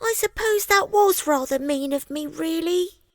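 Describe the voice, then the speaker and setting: A woman's voice: unhurried, no room sound, studio quality. A woman voicing a cheeky, mischievous young male monkey in a childlike storybook voice, full of self-justification. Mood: sheepish. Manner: squeaky, childlike, hesitant boasting. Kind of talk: storybook character voice.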